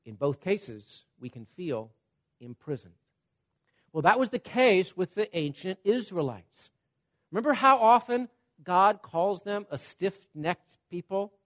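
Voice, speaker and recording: A man preaching a sermon, teaching with everyday examples, checking in with "Okay?" and swinging between loud emphasis and quiet asides. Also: high voice, very animated, measured pace. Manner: repeats "Okay?" to check on listeners.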